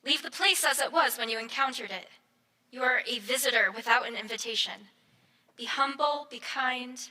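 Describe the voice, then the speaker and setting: A woman's voice: a little high, normal speed, pushed and bright, rough recording. A woman playing a proud, cool female AI queen in a live sci-fi audio play, speaking in a commanding, declarative way. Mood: commanding, composed. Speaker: actor in a live stage audio drama.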